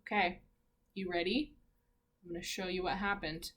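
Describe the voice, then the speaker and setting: A woman teacher reading a picture book aloud to children in an expressive, encouraging way, pausing to ask her listeners questions. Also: lowish voice.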